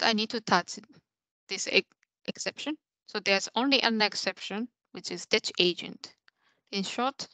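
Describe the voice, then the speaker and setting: Bright-voiced young woman presenting a demo. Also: medium pitch, no echo, low-quality recording. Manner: explanatory, step by step. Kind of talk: technical presentation with live demo. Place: small indoor room, on a video call.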